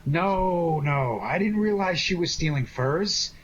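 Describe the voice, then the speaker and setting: A man's voice: on the high side, expressive, takes it slowly, muffled recording, very noisy. A man playing a glib, wisecracking amateur sleuth in a 1940s-style radio detective drama, placating the others. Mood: rueful, defensive. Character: wisecracking, fast-thinking male amateur sleuth.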